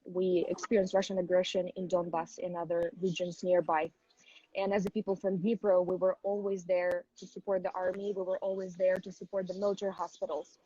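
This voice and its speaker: A woman's voice: on the low side, little pitch movement, a little fast, dark tone, husky, dry recording, muffled recording. A young woman from Ukraine, a native Ukrainian speaker, earnestly explaining things step by step as the guest of a live online interview. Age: young adult. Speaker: activist guest.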